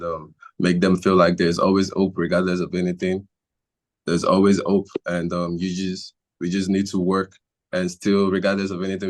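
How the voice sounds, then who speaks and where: A young man, a musician answering interview questions in an earnest, halting way that circles back on his words. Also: low-pitched. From Nigeria. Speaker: musician guest.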